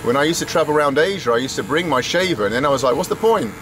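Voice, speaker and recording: A man's voice: on the high side, lively intonation, very fast. Chatty man vlogging outdoors by a road. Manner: chatty, jokey, talks to camera and to locals in simple English. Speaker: travel vlogger. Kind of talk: casual travel vlog narration. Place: open-sided roadside barber hut, then a sunny street outdoors. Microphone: handheld action camera at arm's length. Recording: outdoor street noise behind.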